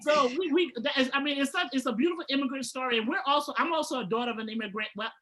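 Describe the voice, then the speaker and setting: Lively host lady from the bahamas, chatty, high voice. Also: rapid-fire. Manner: animated storyteller, laughs often. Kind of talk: casual panel talk.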